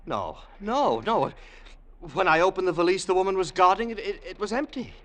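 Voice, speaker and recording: Casting: frantic cowardly underling, high sharp voice, swooping pitch, stammering pleas, vintage radio play. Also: male voice, lively intonation, moderate pace. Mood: frightened pleading. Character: cowardly, pleading fat underling, terrified. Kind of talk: 1940s-50s radio drama acting. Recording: vintage radio broadcast recording.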